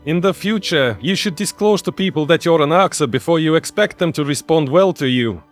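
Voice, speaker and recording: Blunt, higher-voiced human man acted by a man. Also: fairly quick, dry recording, soft background music, natural voice. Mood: scolding. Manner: blunt, sarcastic. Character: stern, sarcastic human man scolding an alien.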